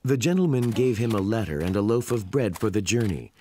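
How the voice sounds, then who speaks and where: A man's voice: fairly low pitch, animated, brisk, mellow, a little hiss or hum. A man narrating a scripted children's story. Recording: prominent music behind the voice.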